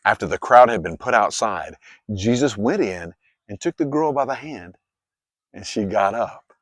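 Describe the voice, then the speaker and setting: A man narrating scripture as a dramatized story, with expressive, clearly phrased delivery. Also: lowish voice, normal speed, dry recording, studio quality. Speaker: Bible narrator.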